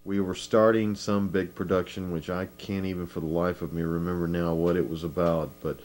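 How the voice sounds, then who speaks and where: A man in a casual interview, looking back on amateur filmmaking in an informal, halting way. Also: low voice, level tone, a little mellow, a little hiss or hum. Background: music.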